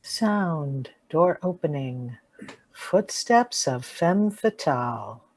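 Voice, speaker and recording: A woman reading out sound-effect cues for a radio play in deliberate, clipped stage-direction phrases. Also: sits low, expressive, darkish tone, dry recording, heavily acted. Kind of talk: flat announced stage directions.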